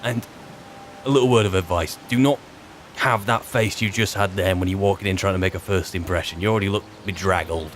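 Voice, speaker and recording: A man's voice: deep voice, fairly even intonation, fairly quick, a little hiss or hum. A man hosting a gaming video, improvising roleplay commentary in a wry, musing and rambling manner.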